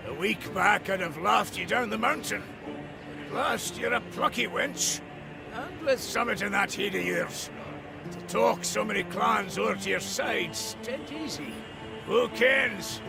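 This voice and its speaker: Very gravelly old Scottish dwarf elder. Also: male voice, sits high, measured pace, over music, exaggerated voice. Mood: gruff, grudging. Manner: blunt, folksy, bossy. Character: gruff, stubborn old dwarf elder of a mountain clan. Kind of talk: dramatic video game voice acting.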